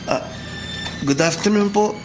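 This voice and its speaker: A man playing a respectful young Filipino policeman and devoted son in a radio drama, polite and soft-hearted. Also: sits high, measured pace, poor audio. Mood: polite, earnest. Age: young adult. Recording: dramatic music bed behind the voice.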